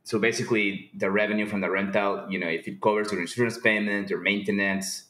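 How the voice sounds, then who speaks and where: A man from Peru speaking casually as an interview guest, informal and halting, repeating words as he explains. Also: fairly low pitch, steady tone, fairly bright tone. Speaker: podcast guest, company founder. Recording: roomy, echoing sound.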